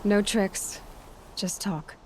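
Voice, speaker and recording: A young hunter girl in a game, guarded n direct, no-nonsense, normal pitch. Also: fairly even intonation, very slow, a little mellow, faint music behind, poor audio. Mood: earnest, insistent. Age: young adult. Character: young huntress, blunt, dry and determined. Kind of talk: video game voice acting.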